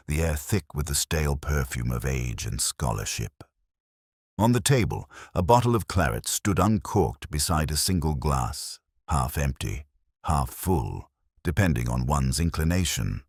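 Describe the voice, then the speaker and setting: Guy acting weary older inspector narrating a mystery, super low husky voice, measured n wry. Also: no echo, clean studio sound, heavily acted. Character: weary, melancholy older male English police inspector recalling a case. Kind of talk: slow literary first-person mystery narration.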